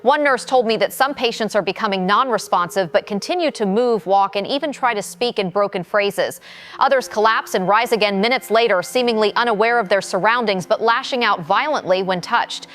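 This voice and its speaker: A woman's voice: medium pitch, a little fast, fairly bright tone, a little hiss or hum, lightly acted. A grave female field reporter, played by an actress, gives a live dispatch in an audio drama. Her mid-range voice stays formal and professional, though she is shaken. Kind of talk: live news report delivery.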